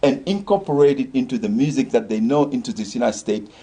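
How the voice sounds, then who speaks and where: A man's voice: mid-range voice, a little fast, warm tone, slightly rough. An older man from Senegal, a community organization leader, talking earnestly in a discussion show and rallying listeners with repeated phrases.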